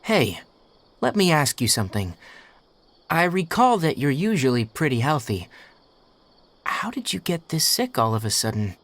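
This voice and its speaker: An actor playing a sensible young forest watcher and healer, firm and matter-of-fact, in a video game. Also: male voice, mid-range voice, very animated, normal speed, long pauses, a bit bright. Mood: firm, reassuring.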